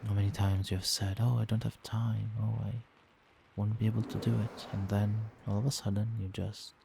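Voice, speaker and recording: A man as a low, soft-spoken doting vampire boyfriend. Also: little pitch movement, moderate pace, creaky, noisy background. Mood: reassuring. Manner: tender, reassuring, coaxing. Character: doting, gentle male vampire boyfriend soothing partner to sleep. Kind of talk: soft-spoken ASMR roleplay.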